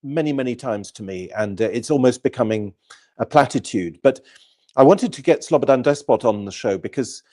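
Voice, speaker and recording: A man hosting an online interview show, introducing his guest in a measured way with frequent filler sounds. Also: medium pitch, lively intonation, dark tone. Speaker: host.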